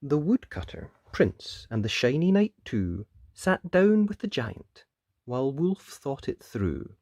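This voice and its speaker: Very animated man reading children's stories. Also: mid-range voice, slow pace, dry recording, clean studio sound. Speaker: storybook narrator and channel presenter. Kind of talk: expressive children's story reading.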